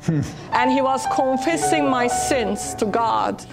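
A woman's voice: sits high, slow pace, gravelly, loud music behind the voice. A woman whose first language is Turkish, giving an earnest, emphatic personal testimony as a guest on a talk show.

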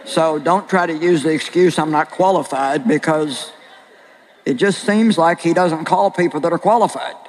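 An older woman with a very deep voice preaching a sermon and sharing her own story in an earnest, plain-spoken way with slow, deliberate pauses. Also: a little mellow, in a large hall, a little hiss or hum, rough recording. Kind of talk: sermon with personal testimony. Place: large hall with an audience.

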